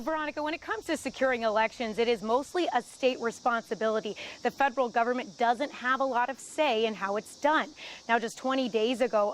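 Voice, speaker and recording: A woman's voice: fairly high pitch, rough recording. An American woman giving a live television news report as a correspondent. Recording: clear outdoor background noise.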